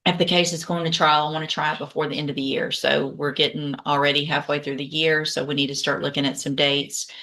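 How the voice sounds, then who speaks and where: A woman serving as judge in a courtroom, speaking in a measured, formal, matter-of-fact way. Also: low-pitched, little pitch movement, sharp tone. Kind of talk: courtroom proceedings.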